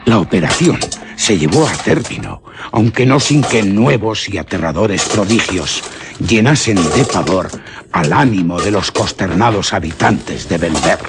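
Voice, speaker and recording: An actor playing a grave, solemn storyteller of an old devil legend in suspense radio theatre. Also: male voice, on the low side, noisy background. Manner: solemn, deliberate, dramatic. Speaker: narrator. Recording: background music under radio narration.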